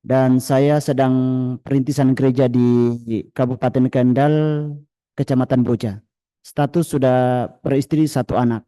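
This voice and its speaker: A young man from East Sumba works through his introduction in a plain, orderly way. His voice is deep in tone and very mellow, with no sharpness at all. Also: steady tone, measured pace, long pauses. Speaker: church planter. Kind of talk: class self-introduction.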